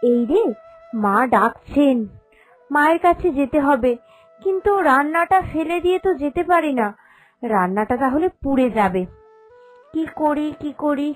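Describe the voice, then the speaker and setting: A woman playing a dutiful, kindly young daughter-in-law in a cartoon, earnest and gentle. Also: high voice, expressive, measured pace, pauses often, soft background music, rough recording. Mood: worried. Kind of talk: animated cartoon dialogue.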